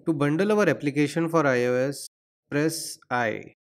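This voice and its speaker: A man's voice: a little high, normal speed. A man hosting a screen-recorded coding tutorial, explaining steps and spelling out terminal commands. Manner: steady, instructional, spells out commands.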